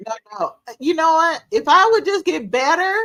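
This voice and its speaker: A woman's voice: extremely high pitch, animated, moderate pace, sharp tone, low-quality recording. A woman hosting a livestream, talking casually with slang and poking fun at herself. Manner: casual, slangy, self-mocking.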